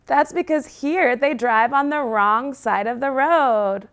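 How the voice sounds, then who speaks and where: A woman voicing a boisterous young male husky dog who plays at being a pirate in a children's story. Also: high-pitched, takes it slowly, a bit bright, voice pushed up. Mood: teasing.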